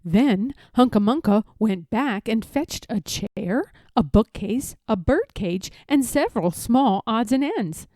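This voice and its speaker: A woman reading a children's story aloud in an expressive, measured, emphatic storytelling manner. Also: on the high side, dry recording, clean studio sound. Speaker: storybook reader.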